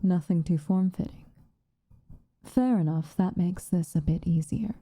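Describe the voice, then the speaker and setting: A woman playing a stern but protective witch in an ASMR roleplay, speaking softly and formally, often in a breathy whisper. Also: fairly low pitch, no echo. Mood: gently reassuring. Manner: measured, formal, gently commanding.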